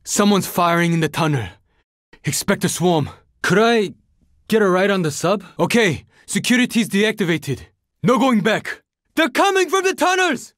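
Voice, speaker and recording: A young survivor in a zombie shooter game. His voice sits high for a male and swings widely in pitch. The delivery is terse, earnest and determined, recorded very dry. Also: very animated, normal speed, fairly bright tone, no room sound. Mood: urgent alarm. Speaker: video game character. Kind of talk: video game voice lines, dramatic combat barks.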